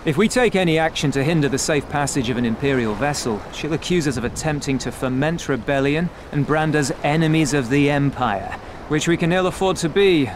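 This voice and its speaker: An actor playing a cynical, world-weary pirate in a video game, speaking in a wry, measured way. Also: male voice, on the high side, background noise, natural voice. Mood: wry, guarded.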